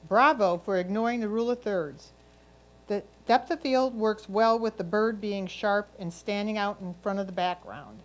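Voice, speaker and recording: A woman's voice: mid-range voice, low-quality recording. A woman reading written photo critiques aloud at a club competition. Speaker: reader of judges' comments.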